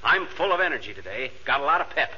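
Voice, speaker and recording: A very sharp, lively voice of a boastful, excitable husband. He blusters in a folksy 1940s radio comedy style, on a dull old recording. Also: medium pitch, quick pace, slightly rough, full character voice. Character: restless, boastful, excitable middle-aged husband.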